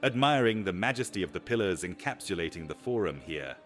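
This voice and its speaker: Casting: man as courteous mysterious stranger, lowish voice, sweeping theatrical intonation, florid; ancient Roman fantasy drama. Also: animated, brisk, fairly bright tone, soft background music. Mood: smooth, evasive. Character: grandiloquent, evasive foreign visitor in ancient Rome. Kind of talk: fantasy audio drama acting.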